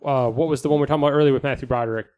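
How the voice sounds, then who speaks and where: A man's voice: medium pitch, steady tone, rapid-fire, darkish tone. A man hosting a casual podcast chat in a studio, prodding the panel with questions, joking and swearing freely.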